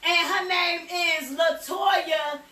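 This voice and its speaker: A woman hosting a casual live interview in a basement, loud, laughing often and swearing freely. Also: extremely high pitch, steady tone, slow, echoey room, distant mic. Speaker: host interviewing a business partner. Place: indoor basement room.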